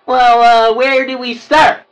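A woman plays a small, grumpy cartoon devil with a high, whiny voice. The delivery is slow and petulant, full of complaint. The mic sounds a little distant, with faint music behind. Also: level tone. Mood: reluctant. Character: short, grumpy, whiny cartoon devil who hates work. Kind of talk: improvised cartoon roleplay.

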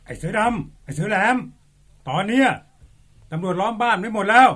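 A police officer bellows a surrender order from off at a distance, as a man plays him. His voice is high and commanding. It is a radio-style drama. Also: lively intonation, brisk. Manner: commanding, shouting from far off.

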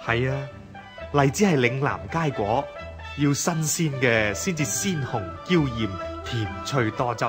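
Male actor as indulgent emperor, stately, affectionate, mid-pitched voice, historical radio drama, music behind. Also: expressive, a little slow, lightly acted. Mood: doting. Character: doting, indulgent emperor of Tang China.

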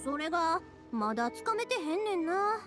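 A woman's voice: extremely high pitch, moderate pace. A woman playing a cheerful, chatty young fireworks craftswoman with a Kansai accent in an anime game. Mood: earnest, hopeful. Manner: peppy, animated, talkative. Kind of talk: Japanese anime video game voice acting. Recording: background music behind dialogue. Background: game soundtrack music.

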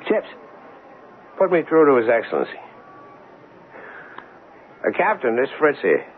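An actor playing a blunt, pushy, slangy police detective sergeant in a 1970s radio drama. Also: male voice, mid-range voice, wildly swooping pitch, measured pace. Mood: businesslike. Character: brash, dogged male police detective sergeant. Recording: tinny, noisy old radio recording.

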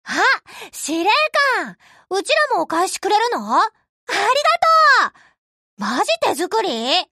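A brash teen anime game girl. Her voice is very high and swings widely, with casual slangy delivery. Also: unhurried, pauses often, no room sound, studio quality, heavily acted. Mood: surprised, pleased. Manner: slangy, lively. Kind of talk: anime game character voice acting.

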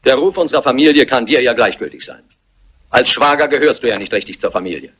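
Husky, touchy family son. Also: male voice, mid-range voice, animated, quick pace, fairly bright tone. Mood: exasperated. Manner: exasperated, defensive. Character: touchy, respectability-minded adult son of a wealthy family. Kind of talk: German crime film dubbing, theatrical dialogue. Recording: dull lo-fi vintage soundtrack.

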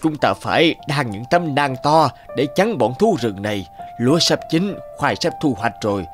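A man's voice: medium pitch, expressive, normal speed, lightly acted. An actor playing a stern old farmer grandfather in a cartoon, scolding and firm. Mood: worried. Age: older. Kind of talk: cartoon voice acting. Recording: faint music behind.

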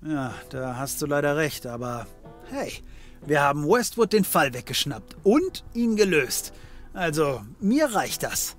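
An actor playing a sardonic, cheeky private detective in a comedy audio drama, flippant and casual. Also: male voice, fairly high pitch, big pitch swings, a little slow, soft background music. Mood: placating.

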